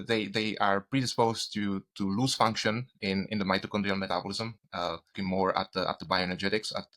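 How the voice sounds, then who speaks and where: A man, a medical researcher interviewed as a guest, explaining technical ideas in a hesitant, halting way. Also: sits low, fairly bright tone. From Czech Republic, grew up in Spain. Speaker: guest, doctor and cancer researcher.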